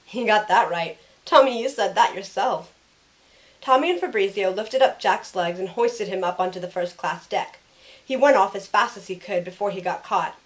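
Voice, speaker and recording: A woman's voice: mid-range voice, normal speed, natural voice. A woman playing a sardonic, loyal young heroine who narrates her own story in an audio drama. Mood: storytelling.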